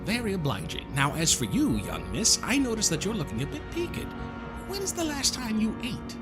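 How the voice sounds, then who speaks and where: A man playing a smooth-talking, mock-polite con man villain in an audio drama, wordy and falsely kindly. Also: high-pitched, huge pitch swings, moderate pace, over loud music. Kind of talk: scripted audio drama acting.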